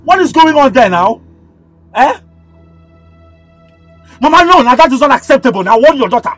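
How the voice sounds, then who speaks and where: A man's voice: very high voice, big pitch swings, moderate pace, fairly bright tone, no room sound, soft background music, heavily acted. A Nigerian man acting a hot-tempered tricycle driver in a screen drama, indignant and repeating himself. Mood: stern.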